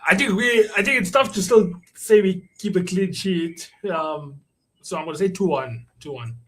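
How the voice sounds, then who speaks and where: A man chatting casually as a football show panelist, slangy and often trailing off quietly. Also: sits high, moderate pace. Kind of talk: casual fan banter.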